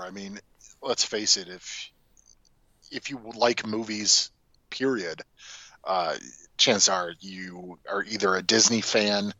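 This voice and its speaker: A man's voice: fairly low pitch, pushed and bright, dry recording, dull audio. A man co-hosting a casual movie podcast, talking in a wry, informal way. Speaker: podcast co-host.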